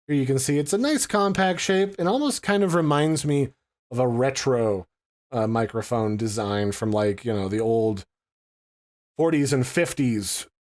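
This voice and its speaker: Male tech reviewer, medium pitch, informal and explanatory, casual unboxing narration. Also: darkish tone.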